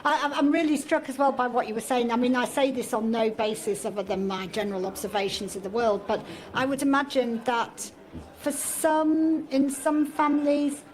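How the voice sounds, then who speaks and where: A woman radio presenter interviewing guests in an effusive, animated way. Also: a little high, normal speed, low-quality recording.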